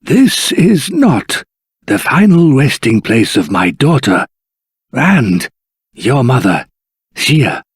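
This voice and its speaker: Doddering old fantasy-game grandfather, heavily rasping voice, hugely expressive swoops, formal solemn storyteller, dramatic pauses. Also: medium pitch, no echo, studio quality, heavily acted. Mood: mournful, confessional. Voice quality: husky, raspy.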